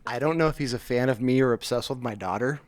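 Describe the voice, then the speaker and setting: A middle-aged man hosting a podcast in a studio, telling stories in an animated, casual way and swearing freely. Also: mid-range voice, quick pace. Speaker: podcast host. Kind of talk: casual chat.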